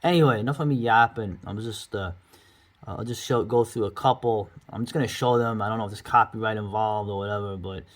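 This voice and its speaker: Middle-aged man, lowish pitch, chatty and enthusiastic, informal video commentary, reviewer rambling along. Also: brisk. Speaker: host reviewing online horror videos.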